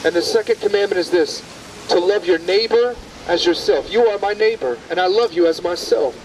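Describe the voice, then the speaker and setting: A man's voice: high-pitched, fairly quick. A man in his thirties preaching earnestly at a busy outdoor bus stop, pleading directly with passers-by. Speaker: street preacher. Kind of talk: open-air street preaching. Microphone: far camera, no visible mic. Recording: distant outdoor camera audio with street noise.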